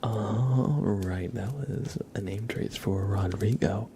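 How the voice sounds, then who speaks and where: A man hosting an ASMR livestream, chatting to viewers in a breathy whisper mixed with soft, creaky speech, gentle, unhurried and playful. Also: on the low side, a little hiss or hum.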